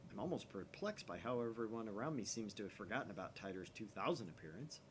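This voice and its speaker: A man's voice: lowish voice, lively intonation, quick pace, close to an everyday voice. Guy doing a young mad scientist for a visual novel, paranoid and theatrical, slight background noise. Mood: brooding, suspicious. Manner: theatrical, conspiratorial. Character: grandiose young self-styled mad scientist, male, paranoid.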